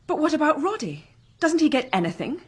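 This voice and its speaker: An actress playing a proud, wounded young heiress in a British radio drama, well-spoken and controlled, with an English accent. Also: female voice, high voice, very animated, fairly quick, no room sound. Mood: anxious. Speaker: actor.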